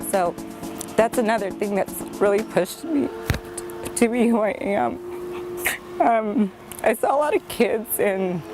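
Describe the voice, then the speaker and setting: A young woman who speaks Spanish and English, talking earnestly and upbeat in an audition interview, with many fillers. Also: mid-range voice. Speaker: reality show contestant.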